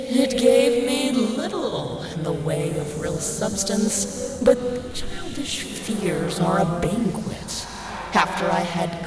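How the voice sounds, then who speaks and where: A man playing an ancient, cruel demon that possesses a boy in a fantasy audio drama, taunting and savoring its words. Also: sits high. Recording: echoing, distant, heavy noisy ambience.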